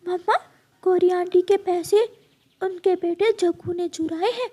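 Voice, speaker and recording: A woman's voice: extremely high pitch, a little slow, warm tone. A woman voicing a mischievous young boy crow in a cartoon story, talking in a pleading, childlike way. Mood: childish. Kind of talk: Hindi cartoon story voice acting.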